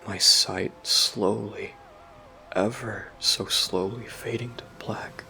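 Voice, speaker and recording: An actor playing a brooding, guilt-ridden young person recounting painful memories in a somber, halting audio drama monologue. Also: male voice, fairly low pitch, steady tone, creaky, sharp tone, whispered, soft background music, full character voice. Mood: haunted, somber.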